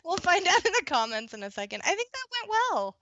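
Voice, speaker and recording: A woman chatting casually as a guest on a livestream, friendly and joking in an animated way. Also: very high-pitched, muffled recording. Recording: noisy livestream connection.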